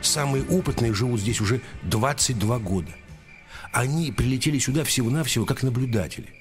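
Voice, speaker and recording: A male actor as a senior nobleman in a radio play, stern and used to command. His middle-range voice is brusque and admonishing, with soft music behind it. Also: mid-range voice, expressive, fairly quick, close to an everyday voice. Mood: firm, admonishing. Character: stern senior nobleman, a small thin commanding veteran official. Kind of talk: radio drama acting.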